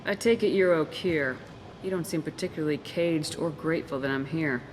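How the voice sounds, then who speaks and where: Woman as a low-voiced, composed military commander. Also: a little hiss or hum, natural voice, low-quality recording. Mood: firm, dry. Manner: measured, matter-of-fact. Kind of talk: video game voice acting. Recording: music behind the voice.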